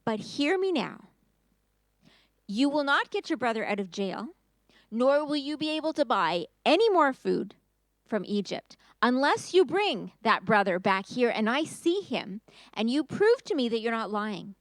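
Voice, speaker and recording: A stern governor threatens a band of suspected spies in a children's Bible story, as a woman puts him on. The voice is high and delivered close and dry, with a firm, warning tone. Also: very animated, a little slow, no echo. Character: stern, suspicious Egyptian governor, a grown man.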